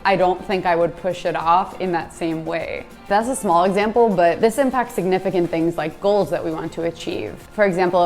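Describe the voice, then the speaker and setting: A woman's voice: on the low side, a little mellow. A woman in her twenties from the United States, giving a candid, self-deprecating talk and telling stories from her own life. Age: 20s. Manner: candid, self-deprecating, storytelling with small laughs. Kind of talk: reflective personal monologue.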